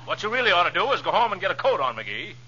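An actor playing a pompous, teasing neighbor in a 1940s radio comedy, husky and chuckling, on a lo-fi old broadcast recording. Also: male voice, sits high, big pitch swings, rapid-fire, sharp tone, heavily acted. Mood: gloating. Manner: pompous, needling, with a gloating chuckle.

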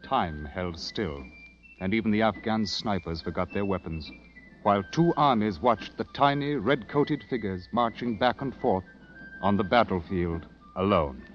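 A man acting as a grave, low-voiced narrator of an old radio war adventure. He tells it dramatically over prominent music on a dull vintage recording. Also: unhurried, lightly acted. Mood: solemn.

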